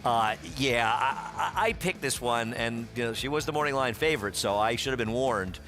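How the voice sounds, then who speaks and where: A racing analyst breaks down races and trades dry jokes in the studio. His voice is mid-pitched, with music clearly playing behind him. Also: normal speed, sharp tone. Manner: chatty, dry humor. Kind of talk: race analysis and studio banter.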